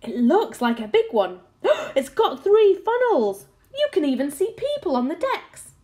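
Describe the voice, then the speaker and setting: Give a breathless young boy, his voice shooting high as he gasps with excitement, played by a woman narrator. The pitch leaps and falls in lively bursts. A storybook child full of wonder. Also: a little slow. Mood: excited. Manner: breathless, gasping exclamations.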